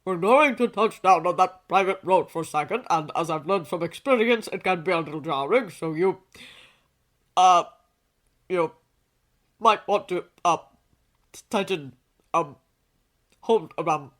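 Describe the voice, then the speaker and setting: A woman voicing an earnest, awkward male hero with a halting, stammering manner in a story. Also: fairly low pitch, animated, natural voice. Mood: awkward caution.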